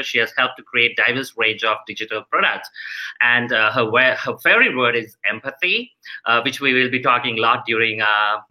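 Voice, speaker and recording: A man's voice: medium pitch, sharp tone, low-quality recording. A man hosting a live online question-and-answer show, talking hesitantly with many fillers. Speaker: show host.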